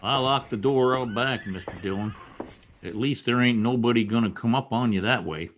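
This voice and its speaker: An actor playing a loyal, fretful Old West deputy in a radio western, with a folksy rural drawl and deferential manner. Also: male voice, mid-range voice, normal speed, highs cut off, a little hiss or hum, close to an everyday voice.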